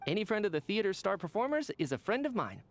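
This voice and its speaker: A man's voice: high-pitched, very animated, fast talker. An actor voicing a chatty, easygoing and polite male official in a video game, talkative and theatrical. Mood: breezy, charming. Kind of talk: video game character dialogue.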